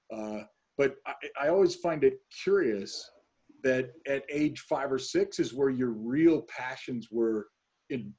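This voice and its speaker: Husky male interview host. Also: mid-range voice, dark tone. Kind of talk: conversational business interview.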